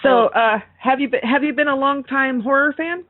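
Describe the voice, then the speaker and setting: A woman's voice: high-pitched, normal speed. A woman co-hosting a podcast interview in casual chat, readily agreeing and responding to her guest. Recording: muffled phone-like call audio.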